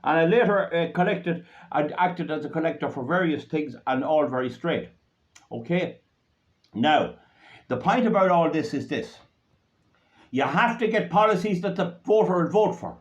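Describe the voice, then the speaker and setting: Irish man, political talk, blunt, rambling, forceful, muffled lo-fi sound. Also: fairly high pitch, animated, moderate pace. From Ireland. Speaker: political activist. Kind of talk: political speech to supporters. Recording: dull lo-fi recording.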